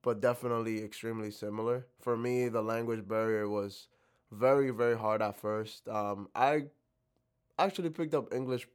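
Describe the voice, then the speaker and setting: A man's voice: on the low side, fairly even intonation, normal speed, clean tone. A young man from the Dominican Republic answering interview questions reflectively, with many fillers and restarts. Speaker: interview guest.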